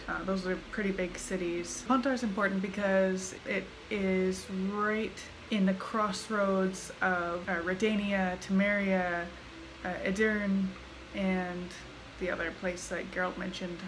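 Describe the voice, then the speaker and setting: A woman's voice: mid-range voice, little pitch movement, unhurried, background noise. A woman giving casual, explanatory commentary as she plays through a video game. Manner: informal, explanatory. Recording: loud game ambience behind voice.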